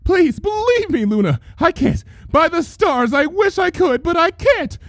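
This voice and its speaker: A man's voice: extremely high pitch, very animated, a little slow, no room sound, studio quality, pitched above the performer's own voice, heavily acted. A man voicing a frightened female unicorn pony in a story, pleading in a shaky, desperate way. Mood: tearful pleading.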